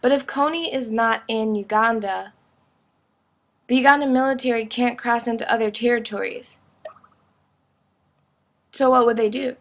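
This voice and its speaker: Young woman, higher-pitched voice, blunt opinion. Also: steady tone, normal speed, pauses often. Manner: blunt, informal, frequent "like". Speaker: vlogger giving opinion. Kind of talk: casual opinionated video monologue.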